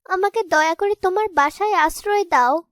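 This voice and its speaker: A pitiful orphan maiden in a cartoon fairy tale , voiced by a woman, meek and pleading. Her voice is high and closely recorded, with no echo. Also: moderate pace, fairly bright tone, studio quality. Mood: tearful pleading.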